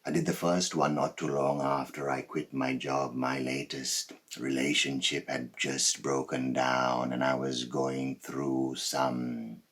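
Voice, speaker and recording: A man performing a dramatic monologue as a cold, boastful psychopathic killer, menacing and deliberate, with dramatic pauses and swells. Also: very deep voice, level tone, a bit bright, slightly rough, exaggerated voice. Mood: cold, matter-of-fact. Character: cold, boastful male psychopath serial killer telling his story.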